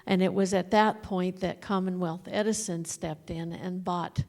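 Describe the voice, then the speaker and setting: Slow, hesitant woman explaining history. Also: fairly low pitch, steady tone, a little mellow. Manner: slow, hesitant, many fillers. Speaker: panelist on local history. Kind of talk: unscripted explanation to an audience. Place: museum meeting room.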